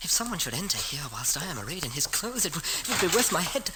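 A woman's voice: low-pitched, very animated, quick pace. A woman playing a frightened, pleading poor boy with archaic speech in an old radio drama. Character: frightened poor pauper boy in prince's clothes. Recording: faintly noisy vintage radio recording.

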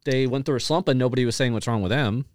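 A man's voice: medium pitch, fast, no echo, clean studio sound. A man co-hosting a sports podcast, casually debating and pressing his points insistently.

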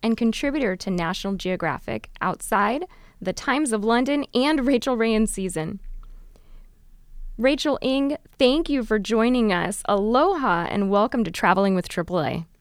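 A woman's voice: on the high side, expressive, normal speed, clear, resonant tone, no room sound. A woman hosting a travel interview podcast, moving between scripted introduction and conversational questions. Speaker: podcast host.

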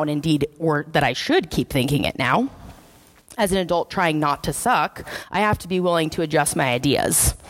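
A woman preacher, giving a sermon in a church in a conversational, wry, self-deprecating way. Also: sits low. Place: church sanctuary.

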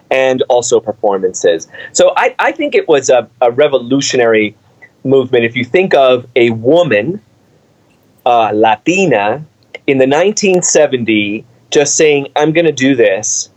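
A middle-aged man from Cuba recalls his path into dance in a loose, animated interview manner. He speaks at an ordinary pitch, often pausing on fillers as he builds his story. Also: poor audio. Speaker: artistic director of a dance company. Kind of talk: conversational interview answers.

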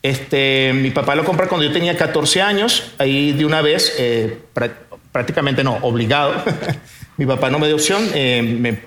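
A man's voice: medium pitch, moderate pace, fairly bright tone. Hesitant, echoey-voiced immigrant businessman. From born in Portugal, raised in Venezuela. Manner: hesitant, repeats words, laughs easily. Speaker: businessman guest. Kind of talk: casual interview storytelling.

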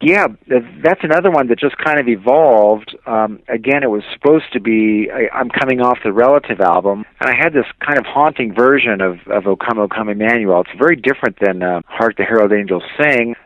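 A man giving casual, slightly halting commentary as a musician being interviewed over a narrow phone-like connection. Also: medium pitch, no room sound. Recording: tinny, dry phone-like line.

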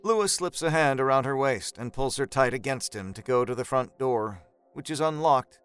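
A man's voice: medium pitch, normal speed, fairly bright tone, clear, resonant tone. A man narrating a scripted horror story in a dramatic storytelling style.

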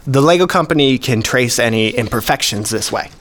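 A man's voice: mid-range voice, lively intonation, brisk, a bit bright, natural voice. An actor playing a modest, precise male hobbyist collector, explaining things methodically in a radio play. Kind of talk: scripted dialogue, explaining.

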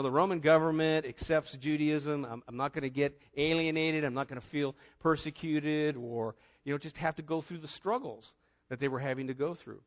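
A man's voice: on the high side, highs cut off. A middle-aged man preaching a conversational sermon, telling a personal story in an earnest way and often repeating words as he starts a phrase.

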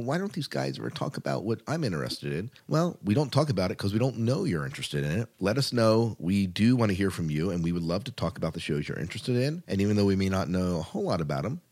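A man with a low-pitched voice hosts a podcast. He talks in a casual, chatty style, like an informal show among friends. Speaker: podcast host.

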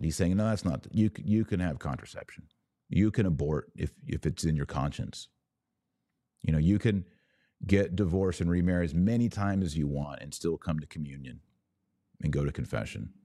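A man's voice: deep voice, darkish tone, no echo, clean studio sound. A man hosting a religious commentary podcast, speaking to listeners in an emphatic, didactic way. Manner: emphatic, didactic, explaining by analogy.

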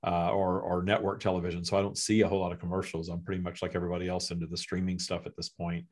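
A man hosting a podcast, talking with a guest in a relaxed, halting way. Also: low voice. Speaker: podcast host. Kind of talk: casual conversation.

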